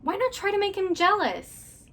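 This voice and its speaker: A woman's voice: very high-pitched, very animated, moderate pace, a bit bright, exaggerated voice. A woman performing a young woman who coaxes an upset friend. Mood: coaxing.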